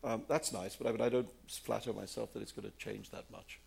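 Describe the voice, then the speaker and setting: Gravelly, husky older man. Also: on the low side, steady tone, fairly quick, a little mellow. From India. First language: Urdu. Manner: rambling with many fillers and repeats. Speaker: author answering audience questions. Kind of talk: live audience Q&A.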